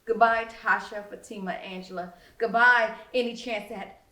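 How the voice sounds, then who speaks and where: Female author-narrator, teen first-person persona, theatrical delivery, bright forceful tone, roomy echo. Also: mid-range voice, steady tone, moderate pace. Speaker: author reading own novel. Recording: roomy, echoing sound.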